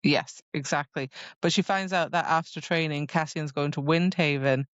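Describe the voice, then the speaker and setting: A woman's voice: deep voice, normal speed, no room sound. Chatty woman podcast co-host, slightly muffled sound. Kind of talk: casual book discussion chat.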